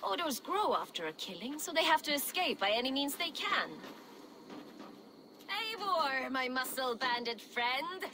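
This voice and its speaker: A woman's voice: sits high, very animated, a little slow. A woman playing a brash, bawdy Viking ex-smuggler in a video game, with a jaunty, teasing, wry way of talking. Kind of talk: video game character acting. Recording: clear background noise and outdoor ambience.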